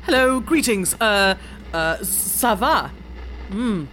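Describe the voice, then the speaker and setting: A woman improvising as a player in a tabletop role-playing game, halting and stammering as she goes. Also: medium pitch, animated, a bit bright, slight background noise.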